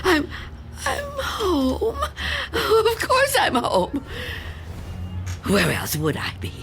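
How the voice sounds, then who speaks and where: A woman playing a frail, reclusive old woman, frightened and fretful, halting and theatrical in an old-time radio drama style. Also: extremely high pitch, huge pitch swings, vocal fry, fairly bright tone, a little hiss or hum, heavily acted. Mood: anxious, muttering.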